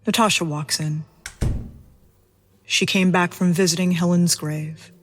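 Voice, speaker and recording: A woman's voice: lowish voice, fairly even intonation, measured pace. A woman reading scripted narration for an audio drama in a detached, factual manner.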